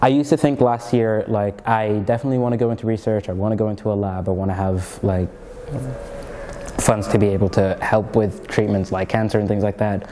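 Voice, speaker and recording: A man's voice: lowish voice, fairly even intonation, brisk, warm tone, big-room sound, slight background noise. A young man from Norway with an American-sounding accent, a comedian and student chatting in an interview, rambling with lots of fillers and restarts. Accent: American-sounding English learned in Egypt. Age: young adult. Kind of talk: casual interview chat.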